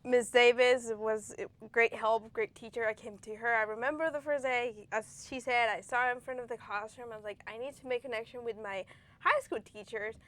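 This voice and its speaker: Young woman, kinda high voice, earnest, trips over words a bit. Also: fairly even intonation, moderate pace, fairly bright tone. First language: Spanish. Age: young adult. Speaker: guest, former student. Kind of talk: interview.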